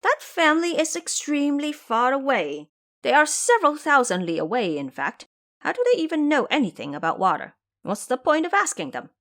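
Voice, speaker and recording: A woman's voice: sits high, sing-song, brisk, a bit bright, pitched above the performer's own voice, heavily acted. A woman voicing a clever, boastful male monkey warrior in an audio drama, brash and proud in manner. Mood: boastful, scoffing. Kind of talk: audio drama character acting.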